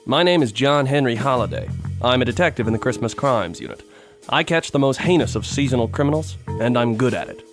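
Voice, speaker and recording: A man playing a hard-boiled, world-weary police detective in a noir radio drama, terse and deadpan. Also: medium pitch, brisk, music in the background, close to an everyday voice. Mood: grim, deadpan.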